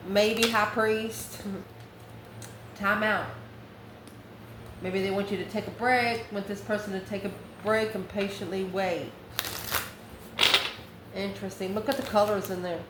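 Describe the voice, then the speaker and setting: In an echoey room with clear background noise, a woman talks her way through a tarot reading as the host. She speaks at a middle pitch in a chatty, informal way, drifting into asides as she goes. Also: steady tone, takes it slowly, long pauses. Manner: chatty, informal, chuckles often, rambling asides. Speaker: tarot reader and channel host. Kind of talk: casual, conversational tarot reading.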